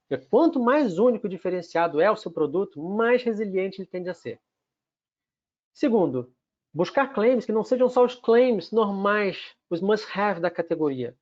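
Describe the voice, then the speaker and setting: A man with a Carioca accent speaks in a noticeably high voice, presenting a webinar in a structured way and counting off his key points one by one. The sound is dull and lo-fi, like an online stream. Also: a little mellow. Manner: structured, lists numbered takeaways.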